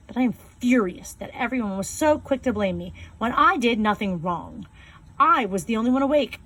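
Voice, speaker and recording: Woman calmly reading a novel aloud. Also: medium pitch, a little hiss or hum, low-quality recording. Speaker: reader.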